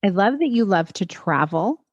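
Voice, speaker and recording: A woman's voice: mid-range voice, lively intonation, normal speed, no room sound, highs cut off. A woman hosting a wellness podcast, reading her intro and asking interview questions in an upbeat, encouraging way. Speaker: podcast host and health coach.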